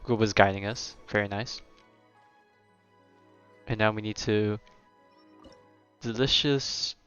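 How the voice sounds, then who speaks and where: A game streamer giving casual live gameplay commentary in a relaxed, chatty style. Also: medium pitch, slow pace, clean tone. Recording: dull lo-fi sound, game music behind. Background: game music.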